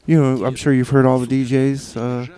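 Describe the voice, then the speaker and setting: A man hosting a radio show with casual, rambling DJ patter in a halting, tongue-in-cheek, mock-official manner. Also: medium pitch, level tone, warm tone, clear, resonant tone.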